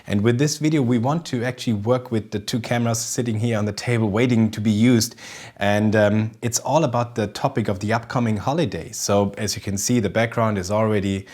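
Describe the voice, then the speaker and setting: A man's voice: lowish voice, steady tone, brisk, a little mellow. A man in his thirties from Germany, speaking English with a German accent as a second language, presenting a casual photography tutorial to camera in a studio kitchen, eager and often restarting as he searches for words. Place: indoor studio kitchen set with festive decorations.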